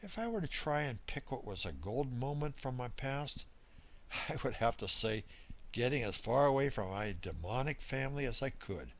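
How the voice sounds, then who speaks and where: A man playing a small, cheerful middle-aged drifter who likes his drink, rambling in a folksy, confiding first-person monologue with dramatic pauses. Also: medium pitch, a bit bright. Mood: reflective, earnest. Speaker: story reader.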